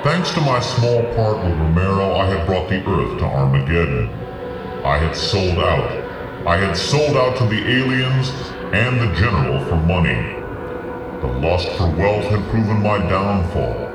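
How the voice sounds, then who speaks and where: An actor playing a haunted, guilt-ridden conspirator, solemnly and deliberately telling his downfall as the narrator of a radio play. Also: low voice, big pitch swings. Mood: bitter guilt. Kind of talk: dramatic first-person radio play narration. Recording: roomy, distant voice under loud music. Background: loud music.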